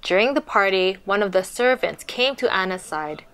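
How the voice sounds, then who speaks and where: A woman reading a storybook aloud to children in an expressive, explanatory manner. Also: medium pitch, normal speed, clear, resonant tone.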